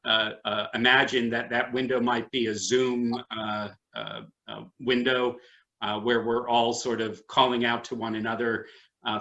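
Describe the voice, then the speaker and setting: A man hosting an online arts program with unscripted remarks, halting and repeating words as he searches for them. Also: fairly low pitch, bright, forceful tone. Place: online video call. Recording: dull lo-fi video-call audio.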